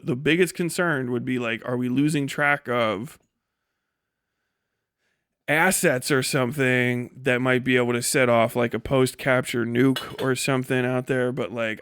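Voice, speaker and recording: A man giving casual, rambling live commentary as a stream host, slangy and animated, acting out imagined exchanges. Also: medium pitch, smooth, no room sound, studio quality. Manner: often chuckles mid-sentence. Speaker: livestream host and political commentator.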